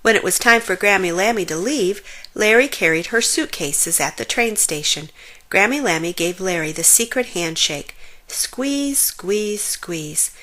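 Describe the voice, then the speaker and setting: A woman reading a children's picture book aloud as an expressive storyteller, stretching words for emphasis. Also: mid-range voice, normal speed. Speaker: storybook reader.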